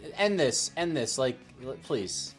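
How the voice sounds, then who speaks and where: A man's voice: medium pitch, animated, a little slow, over music. A man hosting a panel show, talking sports in a casual debate style.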